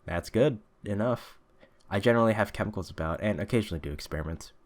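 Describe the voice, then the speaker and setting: Guy reading as an eager eccentric detective, proud, emphatic, low voice, storybook style. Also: fast talker, close to an everyday voice. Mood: affable, frank.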